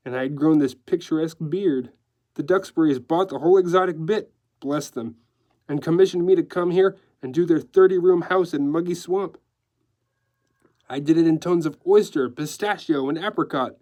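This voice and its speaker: A man's voice: on the high side, normal speed, mellow, pitched above the performer's own voice, natural voice. A man voicing a sly, gleeful, theatrical male decorator in a story, gushing and affectedly dropping French phrases. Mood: gleeful, boastful.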